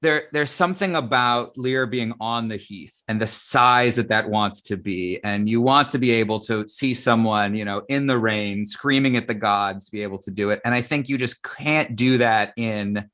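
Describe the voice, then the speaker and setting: A man's voice: lowish voice, moderate pace, few pauses, fairly bright tone. A man hosting a casual discussion, speaking conversationally and building his thoughts in short pieces. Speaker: theatre company host. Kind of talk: casual live online discussion.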